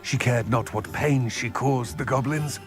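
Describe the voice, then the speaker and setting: An actor voicing an aging, proud goblin in a video game, speaking in a formal, measured, eloquent way. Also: male voice, mid-range voice, soft background music, natural voice. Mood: solemn, measured. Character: aging male goblin, solemn, proud, formal former fighter. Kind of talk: video game cutscene acting.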